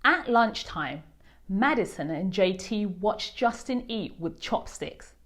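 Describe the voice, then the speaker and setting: Slow, animated woman reading to children. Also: medium pitch. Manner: expressive, addressing young children directly. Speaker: host of a children's read-aloud channel. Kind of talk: children's storybook read-aloud.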